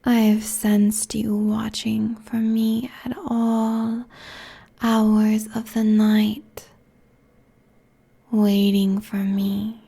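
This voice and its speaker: A woman performs an ancient succubus queen for an ASMR audio roleplay. She whispers in a dark, breathy voice, drawing each phrase out very slowly. Her manner is seductive, haughty and cruel, with menace held low and even, close to a binaural mic. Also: exaggerated voice. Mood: sultry, knowing. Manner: slow, deliberate, taunting, haughty. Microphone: close binaural microphone.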